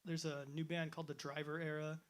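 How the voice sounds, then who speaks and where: A man's voice: on the high side, little pitch movement, a little fast. A nineteen-year-old man answering interview questions as a band member, chatty and self-deprecating. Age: teens.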